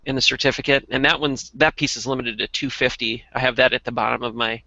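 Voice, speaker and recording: A man in a casual chat about collectible toys, reviewing them as a co-host with halting, detailed explanations. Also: mid-range voice, little pitch movement, creaky, sharp tone, no room sound, dull audio. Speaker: toy reviewer and podcast co-host.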